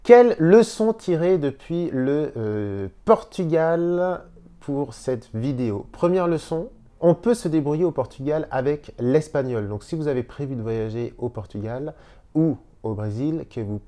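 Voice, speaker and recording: A man who teaches Spanish, speaking in a casual video lesson from a hotel room and explaining slowly with repeated words. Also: fairly high pitch, expressive, dark tone.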